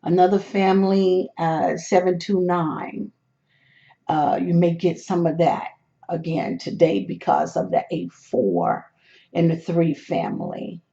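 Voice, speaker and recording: Slow, gravelly, hoarse tutorial voice. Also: medium pitch, fairly even intonation, dark tone, highs cut off. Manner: slow, step-by-step explaining with hesitant restarts. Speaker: lottery number tutorial host. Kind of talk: screen walkthrough of number charts.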